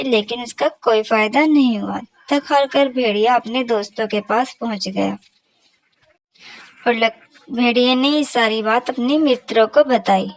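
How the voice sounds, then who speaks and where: A woman's voice: fairly high pitch, no room sound, rough recording. A woman narrator reading a scripted children's story about animals.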